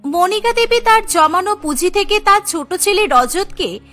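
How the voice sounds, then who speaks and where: A woman's voice: very high-pitched, expressive, moderate pace, a bit bright, faint music behind. A woman narrating a scripted cartoon story as its storyteller.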